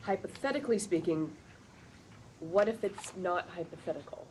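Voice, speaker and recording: A woman's voice: fairly low pitch, normal speed, a little mellow, lightly acted. A woman playing an awkward but composed career-woman friend in a sitcom table read. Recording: clearly noisy room recording.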